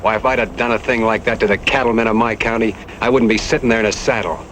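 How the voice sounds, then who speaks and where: Actor as hot-tempered rancher, lowish voice. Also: male voice, fairly even intonation, fast talker, a bit bright, natural voice. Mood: angry scorn. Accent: American. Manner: blunt, scornful. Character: hot-tempered, defiant Old West ranch owner. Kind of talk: Western radio drama acting. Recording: faint noise, old radio drama recording.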